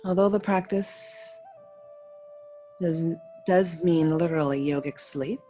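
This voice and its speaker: A woman with a low-pitched voice leads a guided meditation over faint music. She speaks as a yoga nidra teacher, giving soothing instructions in short, unhurried phrases and leaving pauses between them. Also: warm tone.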